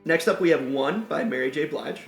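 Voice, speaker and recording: A man hosting a podcast, relaxed and casual, mostly giving short agreeing replies. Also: on the high side, normal speed. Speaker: podcast host. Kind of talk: casual chat. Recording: roomy room with faint music.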